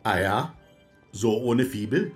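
A woman's voice: a bass voice, lively intonation, slow, faint music behind, heavily acted. A woman playing a mocking, bossy male harlequin marionette in a children's radio play, theatrical and moody, moving between singsong and menace. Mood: excited, scornful.

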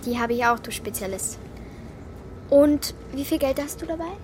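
A cheeky, self-assured young girl in a children's radio play. Her voice is high and her delivery blunt and matter-of-fact, with faint ambient noise behind her. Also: lively intonation, moderate pace. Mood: confident, bossy. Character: bold, cheeky, resourceful young girl Martha.

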